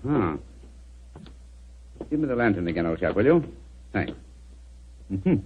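An actor playing a shrewd, unruffled British detective with a dry wit in a 1940s radio drama. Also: male voice, fairly low pitch, big pitch swings, a little slow. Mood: wry, careful. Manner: crisp, wry, urbane. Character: shrewd, unruffled English bachelor detective, dryly witty. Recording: dull, noisy old 1940s radio recording.

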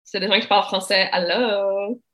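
A woman's voice: medium pitch, steady tone, a little fast, a bit bright. A woman co-hosting a business livestream in casual chat, bubbly and laughing often, over a video call. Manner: bubbly, laughs often, says "like" and "really" a lot.

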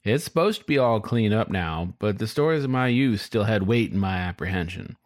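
A man's voice: fairly low pitch, expressive, normal speed, no echo, clean studio sound. A man reading a scripted first-person story as its narrator. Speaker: story reader.

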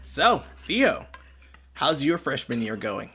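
A man playing a well-meaning, awkward middle-aged father figure in an audio drama, rambling and stammering as he tries to smooth things over. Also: mid-range voice, big pitch swings, slow pace, pushed and bright, slight background noise, full character voice. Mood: friendly, chatty. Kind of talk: naturalistic audio drama acting.

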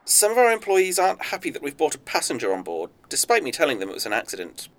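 A polite spy boss acted by a man in an audio drama. His manner is formal and measured, with menace veiled under courtesy, and his pitch moves around freely as he talks. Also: huge pitch swings. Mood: smooth, guarded.

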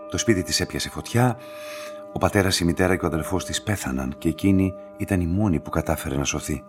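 An actor playing a composed, courteous and enigmatic laboratory director in a radio play, speaking in a measured, formal way. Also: male voice, low voice. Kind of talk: scripted radio drama dialogue.

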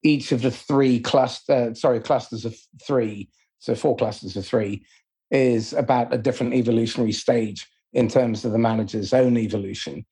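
A man's voice: medium pitch, steady tone, normal speed, warm tone, slightly rough. A man hosting a conversational interview, asking questions and explaining his own approach.

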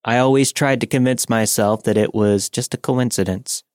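A man's voice: medium pitch, steady tone, moderate pace, a little mellow, no echo, clean studio sound. A man narrating a scripted first-person horror story for a podcast.

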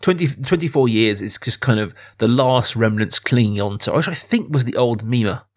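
A man, perhaps in his thirties, talking through a film casually and earnestly, thinking aloud in a halting way as a podcast host. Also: medium pitch, lively intonation.

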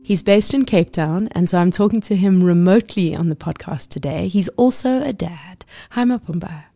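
A woman's voice: lowish voice, normal speed, vocal fry, mellow, no echo. A woman hosting a podcast who reads a scripted intro and asks encouraging interview questions. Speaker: podcast host.

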